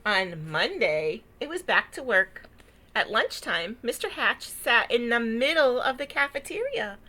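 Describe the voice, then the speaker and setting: Female children's storyteller, bright and highish, expressive picture-book read with dramatic emphasis. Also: unhurried. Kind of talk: animated read-aloud narration with asides.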